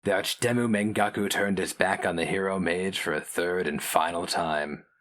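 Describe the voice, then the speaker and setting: Very deep-voiced man narrating dark fantasy. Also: fairly even intonation. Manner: grave, theatrical, measured phrasing. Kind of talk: dramatic reading of dark fantasy story.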